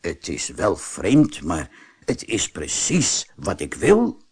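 A man's voice: low-pitched, wildly swooping pitch, takes it slowly, darkish tone, husky, pitched below the performer's own voice, exaggerated voice. A man voicing a lonely, grumbling storybook woodcarver who talks expressively to himself and his creation. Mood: musing. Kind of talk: storybook character voice.

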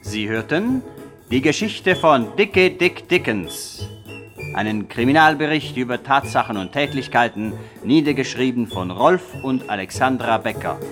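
A man's voice: mid-range voice, lively intonation, moderate pace. A man reading credits as a scripted, tongue-in-cheek 1960s radio announcer in a mock-solemn manner. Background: music.

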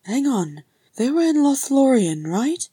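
A woman voicing a lively, teasing younger dwarf brother, chattering and exclamatory, in an audiobook-style story. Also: mid-range voice, drawn-out words, dry recording. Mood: anxious prodding.

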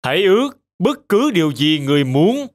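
A man playing a giant, obliging genie in a children's animated fairy tale. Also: sits high, brisk. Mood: commanding. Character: giant male genie of the lamp, booming and obliging.

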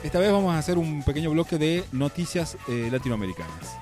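A man's voice: on the high side, big pitch swings, quick pace. Male radio host, chatty and hesitant, music playing underneath. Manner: loose, hesitant with fillers. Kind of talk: radio presenting.

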